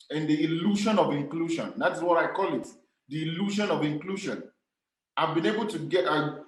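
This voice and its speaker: A man's voice: on the high side, reverberant, rough recording. Earnest Nigerian man giving advice. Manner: earnest, emphatic, repeats key phrases. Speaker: speaker on communication skills. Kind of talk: motivational talk to young people.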